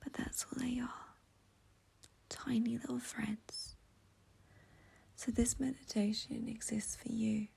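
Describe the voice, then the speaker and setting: Female meditation guide, soothing and intimate, very breathy whisper with a soft, mellow tone. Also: a little high, little pitch movement. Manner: soothing, slow, gentle, intimate.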